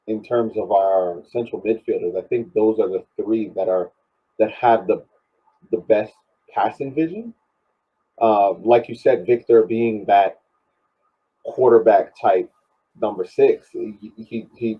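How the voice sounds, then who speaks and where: A man's voice: lowish voice, slightly rough. A man chatting casually about sport, piecing his thoughts together with repeats, on slightly dull audio. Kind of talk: casual sports analysis chat.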